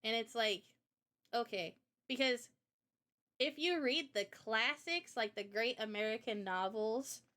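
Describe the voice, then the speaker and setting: A woman streamer hosting a casual live chat, talking in an animated, opinionated way with rambling asides. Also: a little high, unhurried. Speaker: streamer and host.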